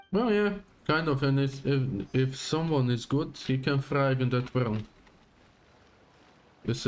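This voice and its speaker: Male streamer, average pitch, off-the-cuff game reactions and asides over faint music. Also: a little mellow. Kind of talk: casual live game commentary.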